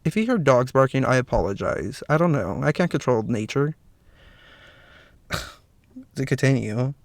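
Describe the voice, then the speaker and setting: A slightly hoarse man with a raspy voice hosts a solo podcast, talking earnestly with lots of "you know" fillers. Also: medium pitch, lively intonation, normal speed, no echo. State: slightly hoarse, clearing throat. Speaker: podcast host. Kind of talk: solo podcast commentary, partly read from notes.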